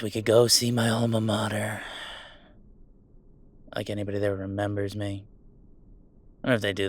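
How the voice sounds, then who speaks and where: A man's voice: medium pitch, no echo, natural voice. A man playing a chatty, affectionate, well-off gamer in a roleplay audio drama, talking casually and reassuringly in a rambling, wry way. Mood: dismissive. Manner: casual, rambling, self-interrupting, wryly reassuring. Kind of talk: intimate roleplay audio acting, one-sided conversation.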